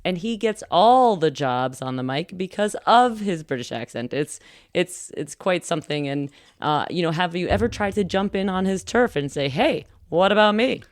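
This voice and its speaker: A woman's voice: on the low side, lively intonation, normal speed, dry recording, studio quality. A woman hosting a casual podcast interview, teasing and playful, laughing often. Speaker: podcast host.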